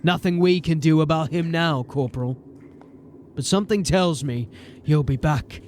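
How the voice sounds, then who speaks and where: Man acting a high-pitched, commanding fantasy watch sergeant. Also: normal speed, a little mellow, a little hiss or hum, lightly acted. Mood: wry, grim. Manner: commanding, wry, grimly determined. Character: gruff, resolute male city watch sergeant turned captain. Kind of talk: audio drama acting and first-person narration. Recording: music behind the voice. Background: music.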